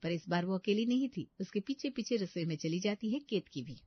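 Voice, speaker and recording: A woman's voice: mid-range voice, lively intonation, brisk, no echo. Slightly muffled, dry woman storyteller. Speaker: story narrator. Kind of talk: scripted storybook narration in a family moral tale.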